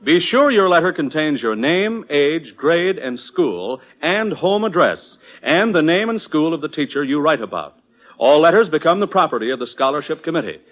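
A man's voice: a little high, big pitch swings, moderate pace. An American man reading scripted sponsor announcements in the style of 1940s radio. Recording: dull vintage 1940s radio broadcast.

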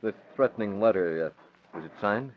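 Man acting a cool, low-voiced boss, reverberant. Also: lightly acted. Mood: cool, ironic. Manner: measured, dryly ironic. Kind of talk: old-time radio drama acting. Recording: reverberant, muffled, noisy old radio recording.

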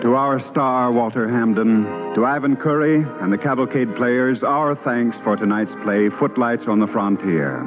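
A man's voice: medium pitch, moderate pace, hardly any pauses. A man delivering formal scripted announcements as an old-time radio announcer. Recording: tinny old radio, loud music behind.

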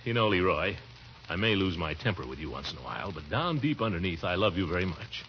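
An actor playing a fussy, affectionate middle-aged uncle in a theatrical, blustery 1940s radio comedy style. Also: male voice, deep voice, very animated, quick pace. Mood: doting, reassuring. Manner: blustery, theatrical, with swooping exclamations and chuckles. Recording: muffled, noisy vintage radio recording.

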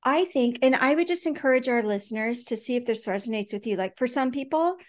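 Higher-voiced woman co-hosting a podcast. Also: expressive, a little fast. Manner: earnest, storytelling, many fillers and restarts. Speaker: podcast co-host. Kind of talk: casual faith podcast conversation.

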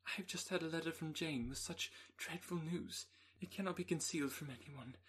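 A man voicing a distraught, impulsive young woman in an audiobook, speaking in a high, breathless, pleading way. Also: normal speed, slight background noise, close to an everyday voice.

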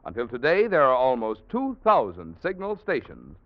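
A man's voice: mid-range voice, wildly swooping pitch, normal speed, dry recording. A man announcing a scripted sponsor message in 1940s radio style. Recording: dull vintage radio broadcast, dry.

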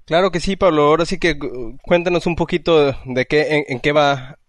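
A man's voice: a little high, normal speed, dry recording. A man from Mexico with a Mexican Spanish accent, co-hosting a casual podcast chat in an easygoing way, with fillers. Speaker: podcast co-host.

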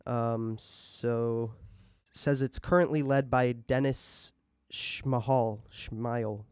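A man co-hosting a podcast, speaking in a low-key, hesitant way as he looks things up. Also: fairly even intonation, slow, clear, resonant tone, no room sound, studio quality. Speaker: podcast co-host. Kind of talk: casual chat.

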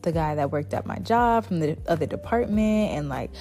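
A soft-spoken young woman hosting her podcast, chatting casually and rambling with lots of "like" fillers. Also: sits low, lively intonation, normal speed, faint music behind. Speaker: podcast host. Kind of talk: casual solo chat.

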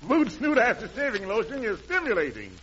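A man with a very high, bright voice delivers playful jive patter as an old-time radio host. He sounds like a mock-pompous showman, on a dull vintage recording with an audience. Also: sing-song, brisk, slight background noise.

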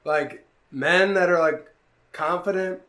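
A young man hosting a podcast in casual chat, animated and swearing freely, with many "like"s. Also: high-pitched, takes it slowly. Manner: animated storytelling, swearing, frequent "like". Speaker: podcast host.

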